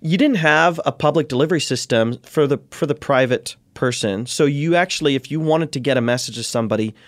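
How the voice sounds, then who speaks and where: A man speaking as a guest scholar in an interview, explaining in an animated way and acting out questions and quotes. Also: medium pitch, fairly quick, no echo, clean studio sound.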